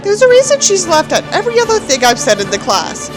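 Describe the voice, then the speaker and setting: A pompous, nihilistic teenage boy reading out his journal, performed by a woman. The voice is high and swoops theatrically, full of contempt and self-importance. Soft music runs underneath. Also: huge pitch swings, fast, heavily acted. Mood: smug, detached.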